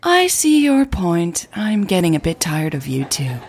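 A woman's voice: on the low side, wildly swooping pitch, a little slow, darkish tone, husky, exaggerated voice. A woman voicing a teasing, sociable female tech blogger in an audio drama, playful and mock-exasperated.

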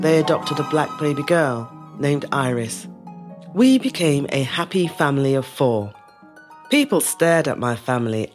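A reflective middle-aged woman tells her family's story in a low voice. Her phrasing is measured and lyrical, shaped like a scripted audio-drama monologue. Soft music sits faintly behind her. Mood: warm, reflective.

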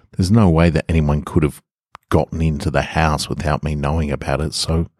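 A man's voice: very deep voice, a little mellow, dry recording. An Australian man hosting a podcast and reading stories, speaking close to the microphone. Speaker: podcast host and story reader. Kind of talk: casual podcast introduction, then first-person horror story narration. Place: garage.

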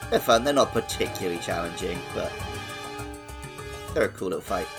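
A man's voice: low-pitched, animated, brisk. A man co-hosting a podcast in casual, enthusiastic gaming discussion. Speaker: podcast host. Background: background music.

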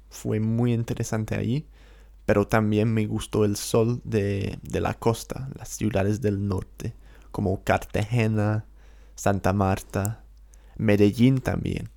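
London man, co-host, unhurried easygoing chat with a gravelly edge, English accent. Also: on the low side, steady tone, dark tone. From England, London. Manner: easygoing, hesitant fillers. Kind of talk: casual conversation.

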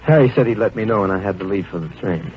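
An actor playing a tender young army officer in love, in a 1940s radio drama style. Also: male voice, fairly low pitch, animated, fast, a little hiss or hum, natural voice. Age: young adult. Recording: dull old radio recording with loud music. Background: music.

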